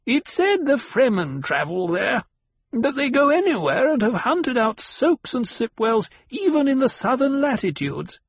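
A man's voice: extremely high pitch, very animated, measured pace, husky, no echo, voice pushed up, heavily acted. A man voicing a brash male dinner guest who speaks in an eager way. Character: brash male guest talking with his mouth full.

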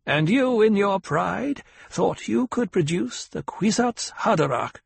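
A man voicing a cruel, demanding old woman leader in an audiobook, sneering and mocking, with a gravelly, husky edge. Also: high-pitched, animated, takes it slowly, dry recording, voice pushed up, exaggerated voice. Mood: scolding.

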